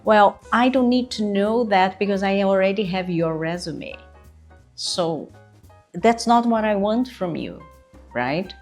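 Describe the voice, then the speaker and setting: A woman's voice: mid-range voice, unhurried, a little mellow, faint music behind. A woman with a Brazilian Portuguese accent, whose native language is Portuguese, coaching job-seekers in an earnest, emphatic way and often checking in with "right?". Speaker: interview coach. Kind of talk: instructional video talk.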